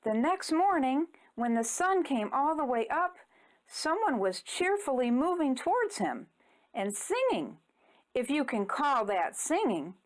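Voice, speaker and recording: Slow, high-pitched woman storyteller. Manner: expressive, deliberate, theatrical storytelling. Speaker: children's storyteller. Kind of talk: rhyming picture-book read-aloud.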